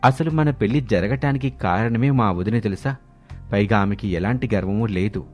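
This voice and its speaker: A man voicing an earnest, gentle young husband in storybook dialogue. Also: lowish voice, moderate pace, lightly acted. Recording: music behind the voice.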